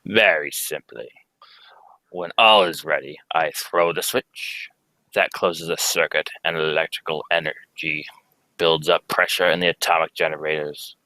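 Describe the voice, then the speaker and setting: A man's voice: a bass voice, steady tone, a little slow, bright, forceful tone, no echo, exaggerated voice, poor audio. A young man playing a grave, urgent alien scientist and family man in a live radio play reading, speaking in solemn, theatrical pronouncements. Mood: earnest. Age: young adult. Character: grave, urgent Kryptonian scientist, husband and father. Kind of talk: live amateur reading of old radio script.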